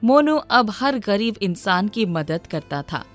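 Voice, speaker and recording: A woman's voice: mid-range voice, big pitch swings, slow pace, soft background music. A woman reading a scripted children's moral story as its narrator.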